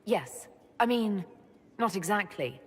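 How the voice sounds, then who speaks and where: A woman's voice: medium pitch, lively intonation, slow pace, slightly rough. A woman playing a sincere, curious heroic leader in a fantasy video game, speaking earnestly and politely.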